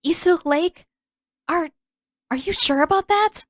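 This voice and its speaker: A woman's voice: extremely high pitch, measured pace, a bit bright, no room sound, clean studio sound, voice pushed up. A woman voicing a stern, impatient teenage girl who teaches and is easily flustered, in emphatic audiobook dialogue. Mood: flustered, urgent.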